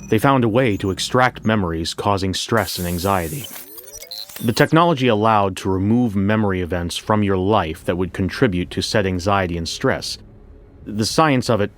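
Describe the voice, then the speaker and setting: An actor playing a wry, reflective bank coordinator who tells his story in the first person, in a measured, conversational way. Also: low-pitched, slight background noise, natural voice. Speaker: narrator. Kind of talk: first-person scripted audio fiction narration. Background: music.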